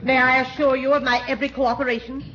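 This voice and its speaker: A woman's voice: sits high, little pitch movement, fast talker, a bit bright. An American woman playing a prim, formal middle-aged secretary in 1940s radio comedy, speaking precisely. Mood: prim, polite. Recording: dull, noisy 1940s radio broadcast.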